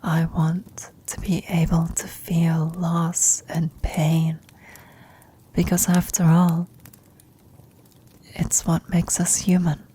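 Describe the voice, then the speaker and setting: A woman acting a frail, dying old adventurer in an intimate ASMR roleplay, speaking tenderly and haltingly in a breathy, husky whisper. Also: fairly low pitch, flat delivery, mellow, heavily acted. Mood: reflective, earnest. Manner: halting, tender, reflective, long pauses.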